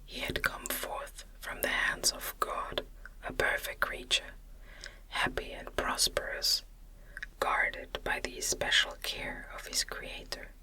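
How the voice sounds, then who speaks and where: Woman whispering a gentle ASMR reading. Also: poor audio. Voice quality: breathy whisper. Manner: gentle, deliberate phrasing. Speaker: audiobook narrator.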